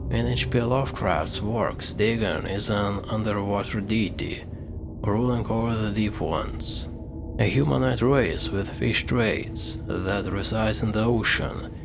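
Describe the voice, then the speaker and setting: A man reading informational notes aloud during a game playthrough, in a measured, deliberate way. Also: on the low side. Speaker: gaming video host.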